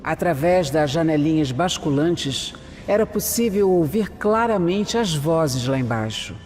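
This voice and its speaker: A man's voice: fairly high pitch, lively intonation, a little slow, darkish tone. A man with a Brazilian accent playing a methodical detective who narrates an audio drama. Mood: measured. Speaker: narrator and protagonist. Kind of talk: scripted first-person narration in an audio drama. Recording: long reverb, music underneath.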